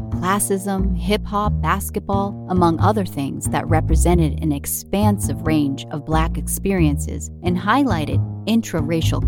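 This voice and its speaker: A woman reading scripted podcast narration in a measured, articulate, formal way. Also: fairly low pitch.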